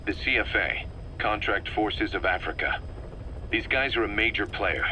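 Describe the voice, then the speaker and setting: A man playing a hardened, wry mercenary officer giving a deliberate, emphatic briefing in a video game. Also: lowish voice, animated, background noise, natural voice. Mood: businesslike, warning.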